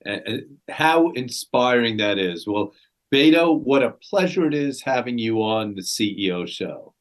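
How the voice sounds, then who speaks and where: A man hosting an interview show, who praises his guest warmly and closes with advice to listeners. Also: mid-range voice, expressive, unhurried. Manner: effusive, praising, preachy. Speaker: podcast host. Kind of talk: interview questions and outro.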